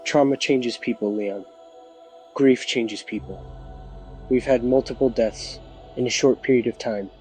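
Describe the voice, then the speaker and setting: A man's voice: medium pitch, fairly even intonation, unhurried, pauses often, a little mellow, lightly acted. A man playing a weary small-town sheriff in an audio drama news interview, firm and emphatic. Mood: weary, insistent. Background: music.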